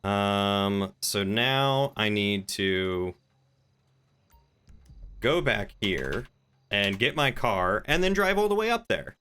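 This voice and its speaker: A man's voice: on the low side, sing-song, slow pace, long pauses, sharp tone, clean tone. A man chatting casually as a game streamer, rambling and thinking aloud while commenting on play. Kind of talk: casual live-stream chat and gameplay commentary.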